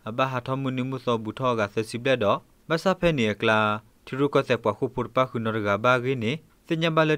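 Clear-voiced man reading radio news. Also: medium pitch, fairly bright tone, no echo. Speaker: news presenter.

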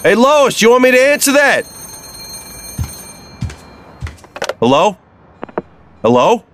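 An actor playing a frustrated, pushy boyfriend who needles with insistent questions in an audio drama. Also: male voice, very high voice, sing-song, normal speed, a bit bright, a little hiss or hum, heavily acted. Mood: restless.

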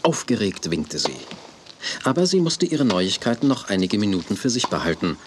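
A man's voice: lowish voice, very animated, normal speed, a little hiss or hum. A man narrating a children's audio drama as a storyteller, reading scripted lines. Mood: storytelling. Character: calm male storyteller of a children's audio play. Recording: music under the voice. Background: music.

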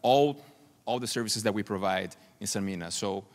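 A man's voice: fairly low pitch, moderate pace. A man in his forties from Brazil, a native Portuguese speaker with a Brazilian accent, giving a conference presentation. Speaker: client representative presenting at a conference. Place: large conference hall stage, indoors. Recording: reverberant hall, stage PA.

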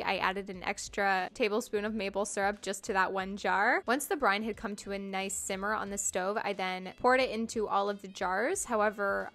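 Young woman, mid-pitched, relaxed chatty cooking narration with a light self-mocking streak, faint music underneath. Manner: chatty, self-deprecating, upbeat. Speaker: cooking vlogger. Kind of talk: casual voice-over narration. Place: home kitchen.

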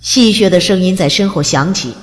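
A woman narrating an audiobook with expressive, gently lilting storytelling. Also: on the low side, normal speed, long reverb tail, soft background music.

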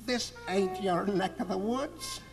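A man voicing a small, brave, defiant male woodchuck who talks back indignantly, over loud instrumental music. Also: high voice, takes it slowly, voice pushed up. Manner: feisty, indignant.

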